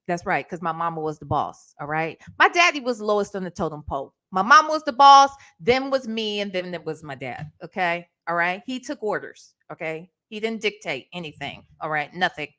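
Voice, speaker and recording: A middle-aged American woman from Ohio telling stories casually as a livestream host, emphatic and sassy, often checking in with the listener. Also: medium pitch. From Ohio, United States. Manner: animated, emphatic, sassy, conversational with tag questions. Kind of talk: casual storytime chat.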